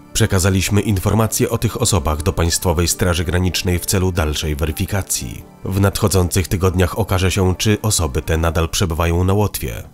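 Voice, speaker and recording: Deep-voiced male news narrator. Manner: even, measured reading. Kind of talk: scripted news voice-over. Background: faint background music.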